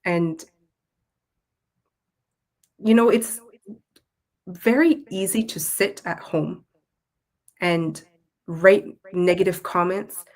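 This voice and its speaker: A woman's voice: fairly low pitch, extremely slow, long pauses, darkish tone. A woman with a Canadian accent speaking as an interview guest in a reflective, articulate, conversational way. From Toronto, Canada. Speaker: guest, content creator and Tamil language teacher.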